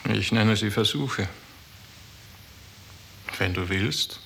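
An actor playing an overbearing, patronising official who coaxes and threatens in a 1960s German radio drama. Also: male voice, lowish voice, extremely slow, vocal fry, fairly bright tone, a little hiss or hum, full character voice. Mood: smug, coaxing. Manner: patronising, speaks in proverbs and slogans. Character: overbearing male bureaucrat, smugly coaxing and menacing.

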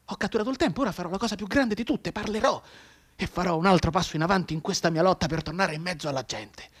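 A wounded man confined to his bed, speaking his thoughts in a dramatic radio monologue. His voice is a little high and rough-edged, husky throughout. He shifts between hushed brooding and anguished, urgent pleading. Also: fast. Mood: desperate, urgent. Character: mute, bedridden wounded man desperately tapping out Morse code.

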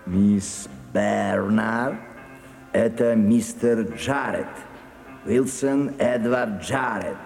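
An actor playing a stiff, insistent English theatre impresario in a radio play, speaking formally and haltingly. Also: male voice, on the low side, gravelly, a little mellow, full character voice. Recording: reverberant hall sound, music behind.

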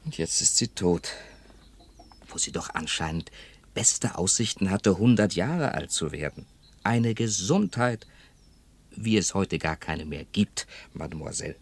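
A man's voice: sits low, animated, a little slow, slightly rough, heavily acted. An actor playing a talkative, gossipy elderly man in a radio drama, chatty and theatrical, quoting others vividly. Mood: wistful, confiding. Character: talkative elderly Frenchman, gossipy, fond of late neighbour.

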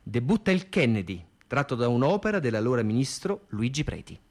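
A man's voice: mid-range voice, big pitch swings, brisk. A man reading scripted theatrical narration and reviews.

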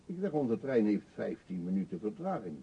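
An actor playing an eccentric, talkative older beggar in a radio drama, pushy and wry, confiding theatrically. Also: male voice, fairly low pitch, very animated, normal speed, vocal fry, slightly rough, heavily acted. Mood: chatty, insistent. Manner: chatty, insistent, theatrically confiding. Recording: dull, muffled old radio play recording.

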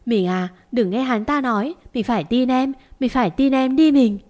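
Pleading, desperate young wife, higher and tearful-sounding, woman narrator. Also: fast talker, darkish tone, close to an everyday voice. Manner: pleading, begging.

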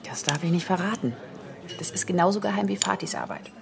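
An actress playing a good-natured, discreet secretary who talks in a low-key, easygoing, slightly conspiratorial way in a radio drama. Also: female voice, quick pace, creaky, crowd noise.

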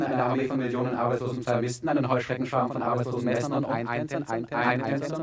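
Careers adviser, played by a man: mid-pitched, officious bureaucrat, long-winded and repetitive, satirical radio drama. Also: close to an everyday voice. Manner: repetitive, halting, bureaucratic speechifying. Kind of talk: satirical radio play acting.

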